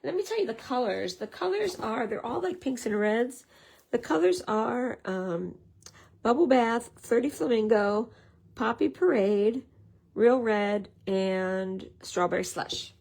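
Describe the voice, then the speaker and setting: Chatty craft demonstrator, woman, hoarse with a head cold, gravelly. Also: fairly high pitch, animated, unhurried, a little mellow. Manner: chatty, greets viewers, many fillers. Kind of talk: casual live craft tutorial.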